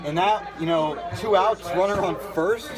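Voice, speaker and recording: Guy hosting baseball radio, pregame chat and play by play, slightly high voice. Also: normal speed, crowd noise. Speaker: sports broadcaster, pregame host and play-by-play announcer. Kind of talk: radio sports broadcast, hosting and commentary.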